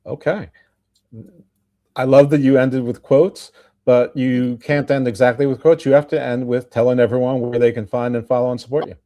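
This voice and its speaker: Mellow-voiced man hosting, dry close sound. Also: mid-range voice, steady tone, moderate pace, dry recording. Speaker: podcast host. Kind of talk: conversational discussion.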